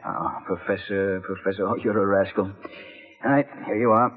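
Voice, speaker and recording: An actor playing a wry, shrewd hard-boiled investigator in an old radio drama, dry and sardonic. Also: male voice, fairly low pitch, fairly even intonation, moderate pace, lightly acted. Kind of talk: 1940s-50s radio drama acting with first-person narration. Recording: thin tinny vintage radio recording, music behind.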